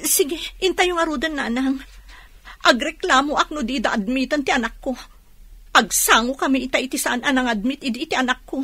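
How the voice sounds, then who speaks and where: A woman's voice: high voice, fairly quick, pushed and bright, no room sound, exaggerated voice. An actress playing a desperate, reproachful young mother in a radio drama. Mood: worried, desperate.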